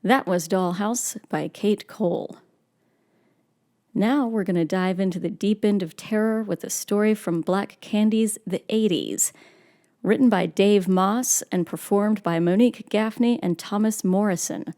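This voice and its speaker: Mellow-voiced woman hosting horror audio plays. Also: medium pitch, unhurried. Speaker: host of a horror audio drama series. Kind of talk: scripted announcing.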